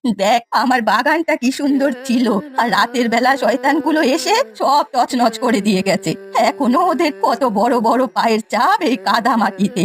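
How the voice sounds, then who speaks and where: A woman voicing a bitter, suspicious old village granny in a cartoon folk tale, scolding and lamenting. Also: high voice. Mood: aggrieved. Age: older. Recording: music behind the voice. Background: music.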